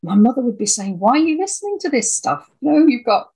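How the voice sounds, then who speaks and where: Husky, creaky older woman. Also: fairly high pitch, big pitch swings, fast, mellow. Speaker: audio drama writer and producer, interview guest. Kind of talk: reflective personal storytelling in interview.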